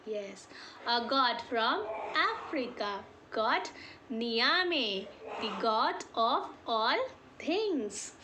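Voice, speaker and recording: A woman telling a folk tale to children in an expressive way, drawing out key words and putting questions to her listeners. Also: fairly high pitch, extremely slow, a little hiss or hum. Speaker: storyteller.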